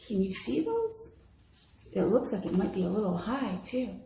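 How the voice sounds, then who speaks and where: A woman's voice: medium pitch, huge pitch swings, moderate pace, creaky, dark tone, slight background noise. An American woman reading a bedtime story expressively to children, in a roomy, echoing home recording. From Michigan, United States. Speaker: storybook reader.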